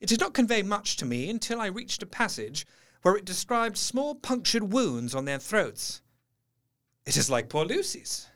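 A man's voice: high voice, big pitch swings, moderate pace, a bit bright, full character voice. An actor playing an educated, skeptical doctor in an audio drama, articulate and precise as he tells his diary. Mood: reflective.

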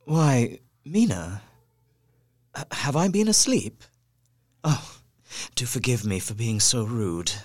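An actor playing a frightened, frail husband in an audio drama, speaking haltingly and repeating himself. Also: male voice, mid-range voice, big pitch swings. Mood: dazed, apologetic. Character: frightened, frail English husband, haunted and dazed.

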